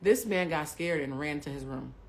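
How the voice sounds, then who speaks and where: A woman in her forties hosting a livestream, telling a personal story bluntly and with plenty of profanity, swinging between hushed asides and loud bursts. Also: low-pitched, expressive, normal speed, a little hiss or hum. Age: 40s. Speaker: livestream host. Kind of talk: candid storytelling to a live audience.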